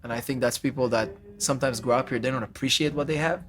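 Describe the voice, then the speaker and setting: A man from Israel speaks in a casual, conversational podcast style. His voice sits at a medium pitch, and he talks as a guest would, in loose everyday phrasing. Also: steady tone, fast talker, a bit bright. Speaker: podcast guest, financial advisor.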